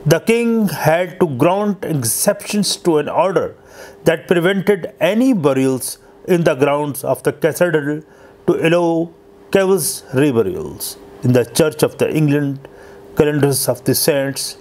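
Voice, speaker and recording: A man reading a scripted history narration as host, in a halting, stumbling way. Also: on the high side, lively intonation, darkish tone.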